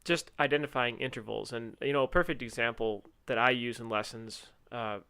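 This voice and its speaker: Шутливый ведущий подкаста, звонкий голос. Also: male voice, mid-range voice, steady tone, fairly quick, gravelly, bright, forceful tone, clean tone. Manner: playful, jokey, upbeat. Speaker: podcast co-host. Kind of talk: casual podcast chat about music teaching.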